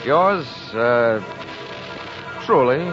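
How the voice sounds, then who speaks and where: A brash, hard-boiled American gumshoe in a 1940s radio play. His sardonic, slangy delivery swings up and down in pitch, and music sits behind the dull old recording. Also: animated, very slow. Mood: wry, dry. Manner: sardonic, slangy, tough-talking. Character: brash wisecracking hard-boiled male private investigator. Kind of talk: 1940s radio acting, first-person detective narration.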